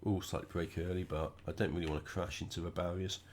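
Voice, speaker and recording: A man with a cold, sounding croaky and stuffed up, chatting casually through his own racing gameplay. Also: deep voice, fast talker, a little hiss or hum. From United Kingdom. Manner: relaxed, chatty, thinking aloud while driving. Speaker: gaming channel host.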